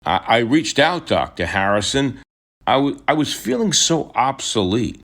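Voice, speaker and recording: A man's voice: medium pitch, sing-song, a little slow, fairly bright tone, full character voice. A man playing a frustrated, discouraged middle-aged writer in a radio drama, speaking in a hesitant, confessional way. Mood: weary, discouraged.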